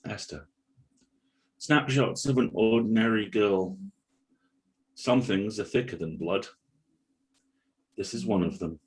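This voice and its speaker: A man's voice: low-pitched, a little mellow, poor audio. A man reading dramatic scripted narration and stage directions as the narrator of a script read-through.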